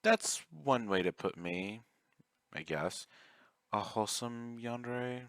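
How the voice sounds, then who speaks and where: A man playing an obsessive, clingy male yandere fan in an ASMR roleplay, speaking intimately and coaxingly, courteous even while threatening. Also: mid-range voice, takes it slowly, no room sound, studio quality. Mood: bashful, unsure. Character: obsessive, clingy, soft-spoken young male yandere fan, politely menacing.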